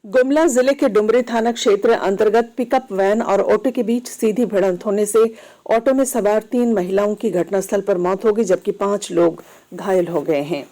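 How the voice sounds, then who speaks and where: A woman reads a scripted news bulletin as a radio newsreader, in a formal, measured manner. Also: medium pitch, mellow, rough recording.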